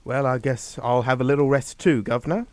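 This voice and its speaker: An actor playing the young, well-bred son of a family in a suspense drama, with a hearty, proper manner. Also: male voice, medium pitch, fairly quick, darkish tone, close to an everyday voice. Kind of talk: radio suspense drama acting.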